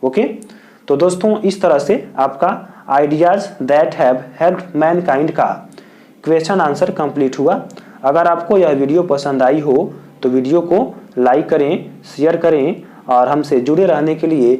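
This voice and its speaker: Slow, repetitive Indian tutor. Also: male voice, on the high side, dark tone. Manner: repeats each point in several wordings; dictates English answers slowly. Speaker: teacher. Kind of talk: exam answer lesson.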